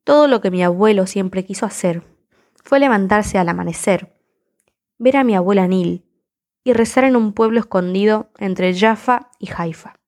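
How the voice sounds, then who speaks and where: A woman's voice: medium pitch, moderate pace, darkish tone. A woman reciting spoken word poetry in a defiant, impassioned, rhythmic delivery.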